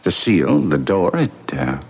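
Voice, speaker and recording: A man playing a polite, hesitant, measured English solicitor in old-fashioned radio mystery acting. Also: low-pitched, sing-song, a little mellow, breathy edge, full character voice. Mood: polite unease. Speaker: radio drama actor. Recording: muffled vintage radio recording.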